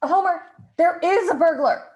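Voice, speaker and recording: Woman doing a super high shrill nagging wife, old radio drama style, pushy and melodramatic. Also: normal speed, darkish tone. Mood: urgent, pushy. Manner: scolding, insistent, melodramatic. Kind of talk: classic radio play acting.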